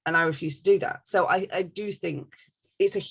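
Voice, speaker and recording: A woman's voice: lowish voice, fairly quick, creaky, slightly rough. A woman talking casually and candidly, giving her opinions emphatically and swearing freely.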